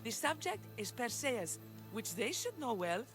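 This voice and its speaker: A woman's voice: on the high side, very animated, a little slow, fairly bright tone, faint music behind. An actress playing an effusive, exasperated mother in ancient Greece, in a video game. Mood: persuasive. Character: effusive, exasperated Spartan-era mother seeking help. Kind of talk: scripted video game cutscene acting.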